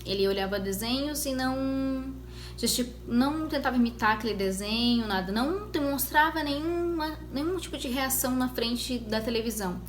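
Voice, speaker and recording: A woman's voice: high voice, lively intonation, soft background music. Chatty mother in an echoey room. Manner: conversational storytelling with many pauses and restarts. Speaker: mother sharing her experience. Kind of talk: casual personal vlog. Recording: echoey room with faint noise.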